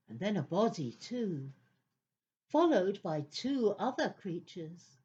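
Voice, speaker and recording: A woman's voice: a little high, darkish tone, dull audio. A woman narrating a children's story in a theatrical, suspenseful style.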